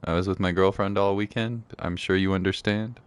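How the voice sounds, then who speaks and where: Low-voiced man chatting on stream. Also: steady tone, a little fast, clean tone, no room sound. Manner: slangy, jokey, rambling. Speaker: game streamer. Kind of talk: casual livestream chat over gameplay. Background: game music.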